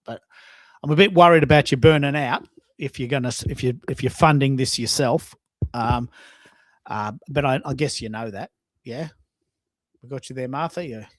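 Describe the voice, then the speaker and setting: A man's voice: mid-range voice, moderate pace, pauses often, no room sound. A man hosting a casual chat in an informal, self-deprecating way, often restarting his sentences. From Australia. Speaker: host.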